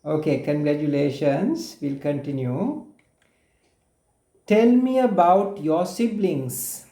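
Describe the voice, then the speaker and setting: A man with a Sri Lankan accent runs a slow English drill. His voice is dark and unhurried. The call sounds echoey. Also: fairly high pitch. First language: Sinhala. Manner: patient, repeats questions, brief praise. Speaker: spoken English teacher. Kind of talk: oral drill over a call.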